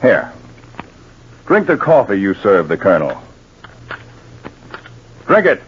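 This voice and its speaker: An actor playing a firm, methodical counterespionage agent, grave and deliberate, in 1940s radio drama. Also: male voice, fairly low pitch, huge pitch swings, slight background noise, full character voice. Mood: coolly in command. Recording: dull 1940s radio recording.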